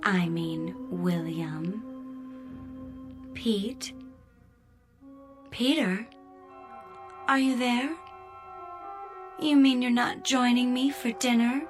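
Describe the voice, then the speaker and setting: A woman's voice: on the high side, extremely slow, long pauses, over music. An actress playing a sweet, needy woman's voice left alone aboard a spaceship in a radio drama, coaxing and mildly scolding. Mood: coaxing, plaintive.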